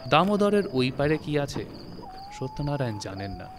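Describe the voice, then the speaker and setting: A man reading a literary story as narrator, in a measured, phrase-by-phrase storytelling manner. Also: mid-range voice, sing-song, slight background noise. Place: recording studio. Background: music.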